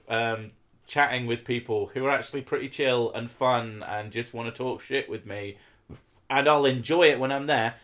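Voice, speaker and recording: A man's voice: mid-range voice, fairly bright tone. A man streaming live, chatting casually and rambling with fillers and frequent swearing.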